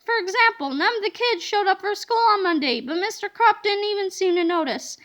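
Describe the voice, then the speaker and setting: A woman narrates a children's story with a very high, bright voice. Her delivery is expressive and emphatic, every phrase shaped for young listeners. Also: brisk. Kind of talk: expressive children's book read-aloud.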